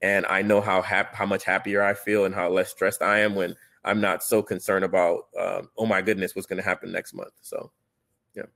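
A man's voice: deep voice, level tone, normal speed, creaky, a bit bright. A man from Haiti telling his story earnestly as a panelist on a video call, with many fillers and restarts.

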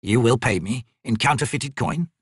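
Audiobook role: nervous, courteous old merchant, read by a man, hesitant, formal, slightly rough voice. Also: medium pitch, dry recording, clean studio sound, natural voice. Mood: meek, polite. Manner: slow, hesitant, formally polite. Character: meek old male trader and artisan, nervously polite. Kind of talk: audiobook character voice.

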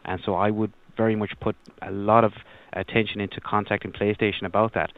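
Low-ish guy doing phone support, polite n apologetic, kinda halting, tinny phone sound. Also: little pitch movement. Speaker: customer service agent. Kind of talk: phone customer support call. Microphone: telephone. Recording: narrow tinny phone line.

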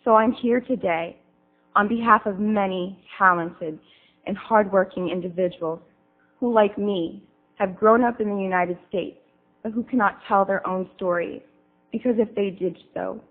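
A woman's voice: mid-range voice, normal speed. A young woman, a student witness, earnestly reading prepared testimony at a hearing. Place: congressional hearing room. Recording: tinny lo-fi archive recording.

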